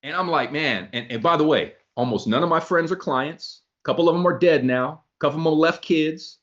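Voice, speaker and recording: Lively male motivational speaker, lo-fi sound. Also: a little high, fairly quick. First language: not English. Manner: animated, acts out both sides of conversations. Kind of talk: motivational talk with storytelling. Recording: dull lo-fi sound.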